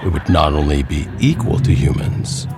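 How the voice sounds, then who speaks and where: A man narrating a scripted horror story in a deliberate, suspenseful way, with dramatic pauses. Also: rumbling low, lively intonation, loud music behind the voice.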